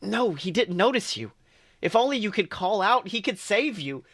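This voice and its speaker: A man's voice: high voice, big pitch swings, normal speed, a bit bright, pitched above the performer's own voice, exaggerated voice. A man reading aloud and voicing a panicked young shop clerk, lifting his voice into a raised, pleading tone. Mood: desperate.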